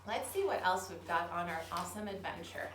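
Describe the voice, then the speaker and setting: A woman's voice: on the low side, lively intonation, a little fast, fairly bright tone. A woman leading a children's yoga storytime, giving simple, encouraging instructions to young children. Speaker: kids' yoga and storytime teacher. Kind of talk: guided children's yoga lesson. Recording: roomy, distant mic, faint background noise.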